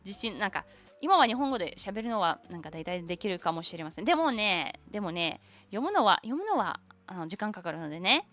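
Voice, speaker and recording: A young woman whose first language is Tatar, chatting casually on a stream, halting and searching for words, with many fillers. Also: medium pitch, sing-song, a bit bright, soft background music. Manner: switches between Japanese and English. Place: lives in Japan.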